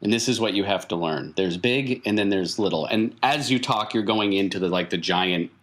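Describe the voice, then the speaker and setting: Low-voiced man narrating a tabletop game. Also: fairly bright tone. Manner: halting, thinking aloud, repeats words for emphasis. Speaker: game master.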